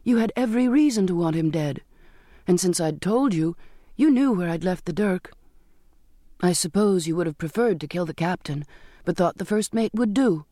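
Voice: medium pitch, expressive, moderate pace, a little mellow, no echo, studio quality, close to an everyday voice. A narrator voicing a stubborn, bitter young girl in an audiobook, with emphatic, emotionally strained, formal speech. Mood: accusing.

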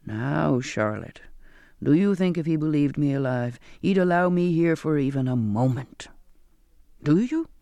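Kindly, earnest sailor played by a male narrator, unhurried, coaxing, close dry sound. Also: a little high, animated, gravelly, mellow, dry recording. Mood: confiding, hopeful. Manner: measured, rhetorical questioning. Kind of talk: audiobook character dialogue.

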